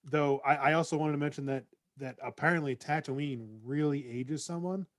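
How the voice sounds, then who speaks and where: A man's voice: on the high side, moderate pace, no echo, studio quality. A man on a casual discussion panel, making wry, joking criticisms. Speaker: podcast panelist. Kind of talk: casual chat.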